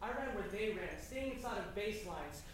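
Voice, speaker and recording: A man's voice: high-pitched, lively intonation, fairly quick, recorded from a distance, a little hiss or hum. A young man performing a spoken word poem live, rhythmic and emphatic, trading lines with a partner. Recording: echoey room heard from afar.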